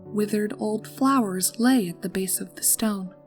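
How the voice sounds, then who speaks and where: A woman reading a horror story aloud in a calm, steady narrating voice. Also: medium pitch, unhurried, faint music behind.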